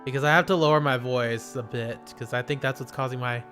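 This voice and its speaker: A male streamer talking casually and loosely, rambling and poking fun at himself. His voice sits at an ordinary middle pitch. Game music plays clearly behind him. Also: fairly even intonation, quick pace, a bit bright. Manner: rambling, self-deprecating, chatty. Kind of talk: casual live stream talk.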